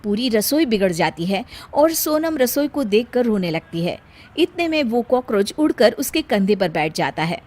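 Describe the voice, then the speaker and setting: High-voiced woman story narrator. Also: sing-song, normal speed, darkish tone.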